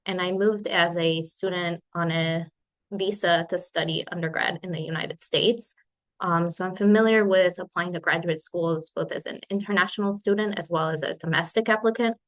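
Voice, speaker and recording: A woman's voice: on the low side, steady tone, normal speed. A woman in her twenties from Spain, born in Colombia, giving an informal webinar presentation as a PhD student. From born in Colombia, grew up in Spain. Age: 20s. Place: remote webinar.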